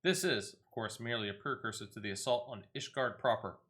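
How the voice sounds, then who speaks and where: A man hosts a casual video game playthrough in a bright, forward voice at a middling pitch. He talks half to himself as he goes, switching between offhand remarks and reading game text aloud. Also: fairly quick. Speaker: gaming video host.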